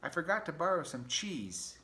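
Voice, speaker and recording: A man's voice: on the high side, sing-song, moderate pace, pushed and bright, pitched above the performer's own voice. A man voicing a cheery, pushy male pack rat neighbor in a breezy, carefree way. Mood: chirpy.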